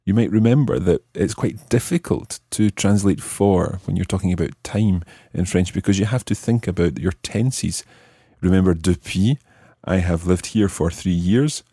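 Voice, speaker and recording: A man with a low, clear voice teaches a language lesson in a deliberate way. His tone is mellow and the recording is dry and close, as he moves between explanations and French examples. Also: no echo.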